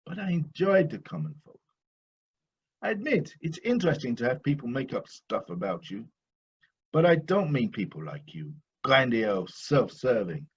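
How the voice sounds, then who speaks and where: An actor playing a proud, boastful Dutch painter in an audio play, grandiose and self-satisfied in manner. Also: male voice, medium pitch, sing-song, normal speed, husky, lo-fi sound. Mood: smug. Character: pompous, boastful old-master Dutch painter, touchy and proud.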